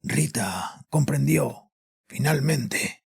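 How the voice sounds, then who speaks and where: A guilt-ridden father acted by a man makes a cold, haunted confession in an audio horror drama. His mid-pitched voice is gravelly and very hoarse-edged, with bitterness underneath. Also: lively intonation, extremely slow, full character voice. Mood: bitter confession. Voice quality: raspy, husky. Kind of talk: dramatic audio fiction monologue.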